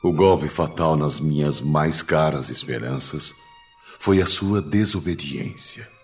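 An actor playing a bitter, deranged spurned lover in a radio drama, speaking slowly with grave reproach in a gravelly voice. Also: male voice, extremely low pitch, faint music behind. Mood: bitter, menacing. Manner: slow, grave, reproachful delivery.

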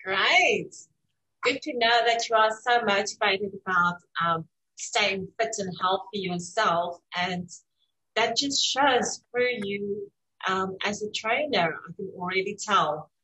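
A woman hosts an interview and asks her guest questions at an unhurried pace. Her delivery is measured and encouraging. Her pitch sits in a middle register. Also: sharp tone, poor audio. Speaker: interview host.

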